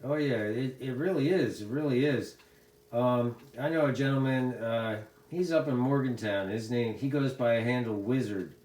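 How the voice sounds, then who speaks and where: A man's voice: medium pitch, moderate pace, a little mellow. A middle-aged American man chatting casually as a CB radio hobbyist, talkative and given to repeating his phrases. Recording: echoey room sound.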